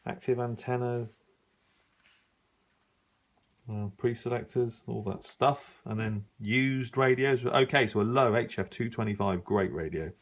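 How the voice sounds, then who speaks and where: A middle-aged man giving a casual, unscripted review, musing and halting as he thinks aloud while browsing. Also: mid-range voice. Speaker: radio hobbyist reviewing an old magazine.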